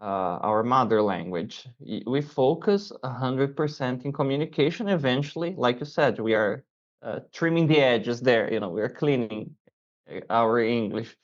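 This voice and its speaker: A male podcast host with a mid-range voice, asking questions in a relaxed, halting way with lots of fillers. The audio is dull and lo-fi, like an online call. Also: medium pitch. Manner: halting, many fillers and restarts. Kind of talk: casual interview chat.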